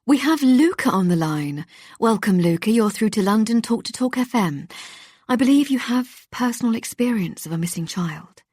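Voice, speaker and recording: A woman voicing a cheery young female radio presenter with a smooth, velvety voice in an audiobook. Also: a little high, animated, moderate pace, no echo, clean studio sound, pitched above the performer's own voice. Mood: chirpy. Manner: bright and chirpy. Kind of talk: audiobook character voice.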